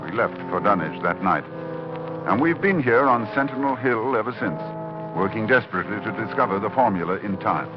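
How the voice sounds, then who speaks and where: Guy as grave scholar doctor in old radio horror, deep voice that swings a lot, music behind. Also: lively intonation, fairly quick, highs cut off, natural voice. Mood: grave, haunted. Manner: intense, dramatic. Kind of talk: 1940s radio horror narration and acting.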